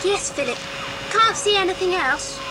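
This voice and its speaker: A woman's voice: extremely high pitch, expressive, moderate pace. A woman playing a small, timid girl in a children's audio adventure, childlike and full of questions. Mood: timid, curious. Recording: reverberant old cassette with faint noise.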